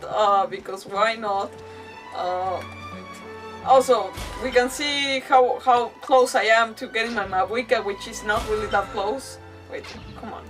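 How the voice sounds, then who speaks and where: A woman doing casual livestream game commentary, rambling, expressive and often interrupting herself. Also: a little high, recorded from a distance, poor audio.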